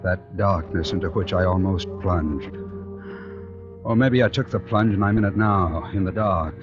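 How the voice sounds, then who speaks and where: A low-pitched, brooding man played by an actor tells his dark tale as a fatalistic painter in a vintage radio thriller. His phrasing is moody and deliberate, on a dull old recording with prominent music behind him. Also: close to an everyday voice. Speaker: narrator and lead actor. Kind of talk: 1940s-50s radio suspense acting, first-person narration.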